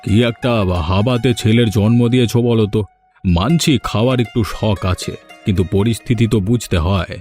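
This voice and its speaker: A man playing a village gentleman and father in a cartoon folk tale, speaking with firm authority. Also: lowish voice, fairly quick, faint music behind, natural voice. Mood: scolding. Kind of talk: Bengali fairy tale cartoon dubbing.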